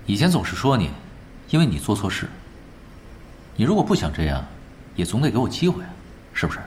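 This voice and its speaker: A man's voice: fairly low pitch, huge pitch swings, moderate pace, long pauses, a little hiss or hum. An actor playing an unruffled, blunt male esports veteran who lectures drily and with composure in a radio drama. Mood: calm, critical. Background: background music.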